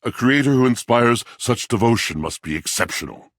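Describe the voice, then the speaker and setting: An actor playing a proud, melancholy, antiquated washing machine in a video game, speaking in a theatrical, formal, brooding way. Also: male voice, lively intonation, normal speed, a bit bright. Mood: aloof, sardonic. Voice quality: very deep, husky. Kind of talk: video game character voice acting.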